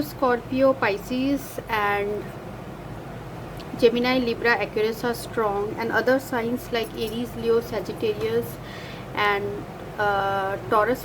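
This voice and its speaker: High-voiced woman with an Indian accent. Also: noisy background. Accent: Indian English. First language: Hindi. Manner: hesitant, many uh fillers and self-corrections. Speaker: card reader. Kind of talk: casual card reading to camera.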